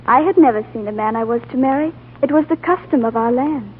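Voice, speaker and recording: An actress as a quiet, reserved young woman. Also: fairly high pitch, quick pace, natural voice. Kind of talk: 1930s radio drama acting. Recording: old phone-like radio broadcast with hum.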